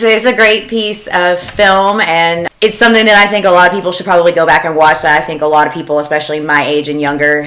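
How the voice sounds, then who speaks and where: Woman, 20s, mid pitch, casual and self-deprecating podcast co-host. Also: a bit bright.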